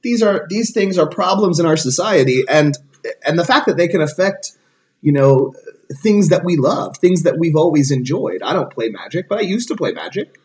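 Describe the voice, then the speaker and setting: 30s guy on a podcast, kinda high voice, really animated, keeps saying right?. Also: moderate pace. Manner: earnest, explanatory, says "right?" often. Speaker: podcast co-host. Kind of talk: casual podcast chat.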